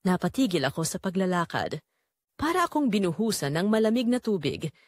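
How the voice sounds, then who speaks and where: Female Filipino storyteller for audio drama, theatrical first-person read, highly animated pitch, very dry recording. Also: a little fast, dry recording.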